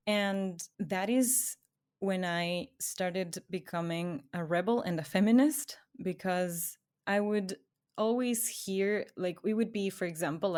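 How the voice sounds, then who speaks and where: A woman from Ecuador, co-hosting a podcast, telling her own story in a casual, chatty way and laughing often. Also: fairly low pitch. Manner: chatty, laughs often, trails off quietly at phrase ends. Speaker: podcast co-host.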